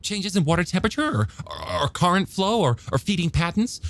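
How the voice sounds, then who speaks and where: A man's voice: high voice, wildly swooping pitch, brisk, voice pushed up, heavily acted. A man playing an eager, bookish young male scientist in an audiobook, stammering a little as he explains things emphatically and testily. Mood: animated, explaining. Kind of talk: audiobook character dialogue.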